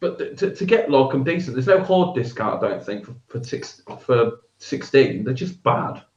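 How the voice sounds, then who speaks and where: Animated man in his thirties. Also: medium pitch, normal speed. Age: 30s. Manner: animated, laughing, trails off and restarts. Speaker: podcast co-host. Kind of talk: casual chat about tabletop miniatures. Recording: echoey room, dull lo-fi sound.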